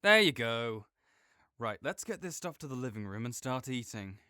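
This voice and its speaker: A young man playing a caring, easygoing friend who speaks gently and reassuringly to the listener in an audio roleplay, with some soft joking. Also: mid-range voice, sing-song, normal speed, smooth, dry recording, studio quality.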